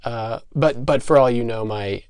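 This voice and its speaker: A man with a Midwestern American accent hosting a solo comedy podcast, speaking in a halting, stammering, mock-earnest and self-important deadpan. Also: medium pitch, clean tone, no room sound, clean studio sound. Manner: halting, stammering delivery with repeated words. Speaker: podcast host.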